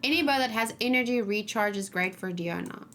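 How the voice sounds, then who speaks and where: A woman's voice: mid-range voice, a little fast, a bit bright. A woman streaming a game, thinking aloud in casual chat and often muttering to herself. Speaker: gaming streamer, host. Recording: noisy, with keyboard typing and clicking. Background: background noise, computer keyboard and mouse clicks.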